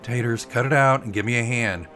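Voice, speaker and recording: A man's voice: mid-range voice, fairly quick, sharp tone, pitched above the performer's own voice, natural voice. A man playing a grouchy, restless man who grumbles with irritation, in a narrated story with music behind him. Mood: dazed, annoyed. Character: grouchy, restless man nursing martinis on a boat.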